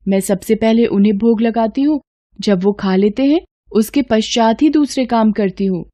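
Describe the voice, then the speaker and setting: A woman's voice: fairly high pitch, moderate pace, mellow, natural voice. A woman playing an innocent, stubborn, devout young village girl in a devotional story, earnest and simple-hearted. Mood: content. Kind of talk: Hindi devotional story acting.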